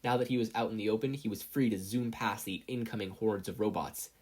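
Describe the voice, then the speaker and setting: A story narrator reading scripted fiction in an expressive storytelling manner. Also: medium pitch, brisk, husky. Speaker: podfic reader and narrator.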